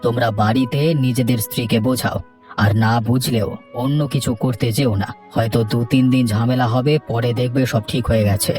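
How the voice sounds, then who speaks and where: A man playing an avuncular village headman in a cartoon, giving advice in a measured, instructive way. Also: medium pitch, fairly even intonation, darkish tone, soft background music, natural voice. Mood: advising, reassuring. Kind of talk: cartoon dialogue.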